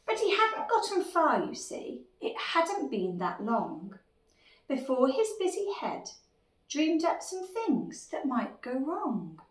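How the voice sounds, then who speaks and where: A woman reads a children's storybook expressively, with a high, very lively voice. She sounds a little away from the microphone, in an echoey room. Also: slow pace, distant mic. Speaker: story reader.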